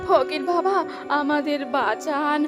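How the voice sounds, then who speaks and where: A woman's voice: sits high, unhurried. A woman voicing a plaintive, grieving village cow in a children's cartoon. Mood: pleading, grieving. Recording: music behind the voice.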